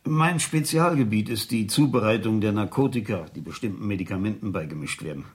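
An actor playing a diligent, modest chemist in a radio thriller, explaining things in a formal manner. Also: male voice, on the low side, fairly quick, lightly acted. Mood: polite, factual. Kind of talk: 1970s German radio thriller acting.

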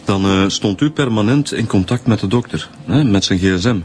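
A man's voice: on the low side, fairly quick, darkish tone, a little hiss or hum, lightly acted. A man with a Flemish accent playing an easygoing, bantering police inspector, conversational in a radio drama. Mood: inquiring.

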